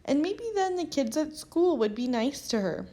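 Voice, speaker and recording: Female storybook narrator, higher-pitched voice, lively and expressive delivery for young listeners. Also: normal speed. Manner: expressive, animated storytelling with acted character lines. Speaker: storybook reader. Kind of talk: children's picture book read-aloud.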